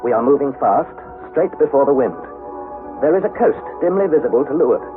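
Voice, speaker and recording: An actor playing an earnest young nephew, narrating an expedition with measured, dramatic storytelling in a 1960s radio drama style. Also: male voice, medium pitch, lively intonation, music in the background, close to an everyday voice. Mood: measured logging. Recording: narrow-band, slightly noisy vintage radio recording.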